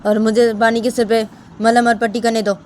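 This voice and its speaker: A woman's voice: on the high side, flat delivery, brisk, darkish tone, pitched above the performer's own voice, close to an everyday voice. A woman voicing a stern, bossy aunt in a family story, giving curt, repeated orders. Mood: stern, annoyed.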